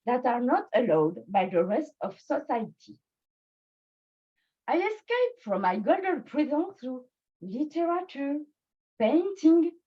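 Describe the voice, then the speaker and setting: Slow-speaking woman with a French accent. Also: on the high side, mellow, slightly rough, dull audio. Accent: French and Swiss French. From born in Bangladesh, raised in France. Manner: slow, deliberate delivery with pauses. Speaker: club speaker. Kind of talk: prepared personal speech.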